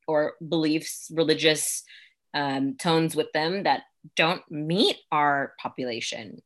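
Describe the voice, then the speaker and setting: A young American woman with a low voice, speaking as a guest in a relaxed conversation. She reflects slowly and leans on fillers as she thinks. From United States. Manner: reflective, uses many fillers like "like" and "um". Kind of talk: casual conversation, personal story.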